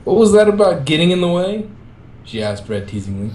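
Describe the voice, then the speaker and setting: A man's voice: very animated, fairly quick, a little mellow. Man reading aloud, roomy echo. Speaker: co-reader in a group reading. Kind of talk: amateur fan fiction narration and casual chat. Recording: echoey room, faint rumbling noise.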